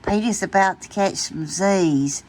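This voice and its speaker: A woman reading a children's bedtime story aloud in an expressive, deliberate way. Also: mid-range voice. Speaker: storytime reader.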